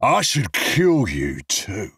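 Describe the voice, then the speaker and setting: A man voicing a belligerent drunk man who slurs, shouts and taunts. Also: lowish voice, huge pitch swings, slow, slightly rough, no room sound, studio quality, voice pushed up, full character voice. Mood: drunken rant. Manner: slurred, loud, cocky taunting.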